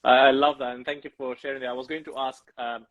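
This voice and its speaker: Male podcast host, mid-range voice, halting, effusive, dry close sound. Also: medium pitch, flat delivery, no echo, narrow, tinny audio. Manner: halting, many restarts and fillers; effusive, keeps thanking the guest. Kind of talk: casual interview chat.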